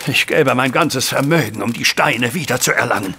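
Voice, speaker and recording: An actor playing a distraught older banker in an audio drama, pleading in a strained, husky voice. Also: male voice, medium pitch, normal speed, pushed and bright, slight background noise. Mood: desperate, pleading. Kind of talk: German audio drama acting.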